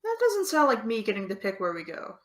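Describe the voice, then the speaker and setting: A woman's voice: mid-range voice, brisk. Woman acting sardonic young American woman, Eve. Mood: teasing, intimate. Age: young adult. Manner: dry, teasing, deadpan. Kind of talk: audio drama dialogue.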